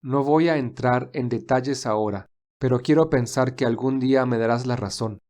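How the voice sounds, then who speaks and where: A man's voice: mid-range voice, a little mellow, close to an everyday voice. A man playing a courteous, composed heir in an audio drama, speaking formally and with polite firmness. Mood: calm, firm. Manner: formal, measured, politely firm. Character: composed, firm, courteous wealthy nephew and heir.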